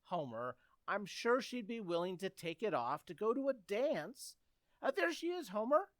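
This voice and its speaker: A woman's voice: mid-range voice, sing-song, normal speed, dry recording, close to an everyday voice. A woman playing an eager, coaxing, earnest teenage boy in an old-time radio comedy. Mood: confident.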